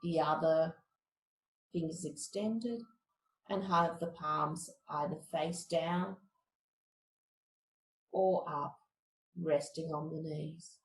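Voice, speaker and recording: A woman teaching meditation, giving guided relaxation instructions in a calm, measured, soothing manner. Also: deep voice, echoey room, a little hiss or hum, poor audio.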